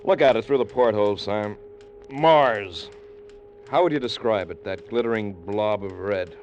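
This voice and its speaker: An American actor playing a brooding, commanding rocket ship captain in an old-time radio drama, theatrical and ruminative. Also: male voice, fairly low pitch, unhurried, darkish tone, a little hiss or hum, natural voice. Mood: brooding, restless. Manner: theatrical, ruminative, with clipped official orders. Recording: dull vintage 1950s radio recording. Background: dramatic background music.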